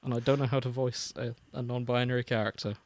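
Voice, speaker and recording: A man's voice: mid-range voice, steady tone, fast, no room sound. A man co-hosting a podcast, reading fan fiction aloud with sarcastic asides and casual swearing. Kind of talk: casual chat with dramatic reading.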